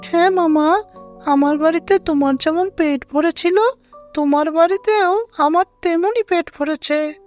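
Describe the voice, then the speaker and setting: A woman's voice: very high voice, measured pace, a little mellow, soft background music. A woman voicing a sly trickster fox in an animal fable cartoon, with a sweet-talking, drawling delivery. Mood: feigned politeness.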